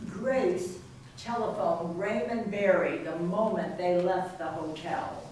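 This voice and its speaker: A woman's voice: fairly low pitch, steady tone, slow, a little mellow. A woman narrating a scripted readers theater piece as part of a stage cast. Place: library readers theater performance, indoors. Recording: very echoey hall, distant, faint hiss.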